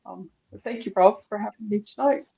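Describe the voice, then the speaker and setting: Guest composer, gravelly and mellow, quick and breezy, muffled lo-fi sound. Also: mid-range voice. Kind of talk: casual thanks.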